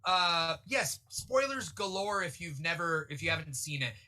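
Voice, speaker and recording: A man's voice: a little high, moderate pace, sharp tone. A man hosting a casual, chatty panel discussion about comic book films. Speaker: show host.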